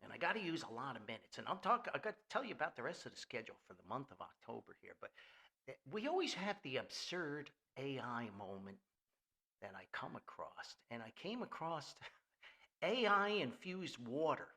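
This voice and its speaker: A man's voice: mid-range voice, sing-song, moderate pace, vocal fry, sharp tone. An older man hosting a casual, rambling podcast in a folksy, jokey manner. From Paterson, New Jersey, US. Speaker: podcast host.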